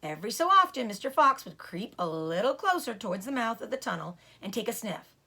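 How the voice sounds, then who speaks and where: Bright, animated woman reading aloud to children. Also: fairly high pitch, brisk. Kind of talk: expressive storybook read-aloud.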